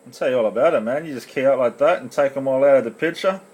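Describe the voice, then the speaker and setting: A man's voice: mid-range voice, quick pace, darkish tone, rough recording. A man in casual, laid-back CB radio banter full of slang, recorded on a phone. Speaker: amateur CB operator filming on phone. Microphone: phone recording in the radio room.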